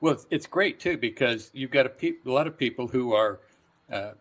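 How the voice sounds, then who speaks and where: A middle-aged man speaking as a guest voice actor, telling stories casually in a relaxed interview setting. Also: mid-range voice, normal speed.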